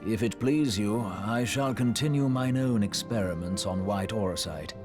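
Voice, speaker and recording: A grave male scholar of arcane lore acted by a man speaks in a low, measured voice. The wording is courtly and archaic, solemn throughout, in fantasy video game style. Music plays clearly behind. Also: lightly acted. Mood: deferential. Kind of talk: formal video game voice acting. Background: prominent music.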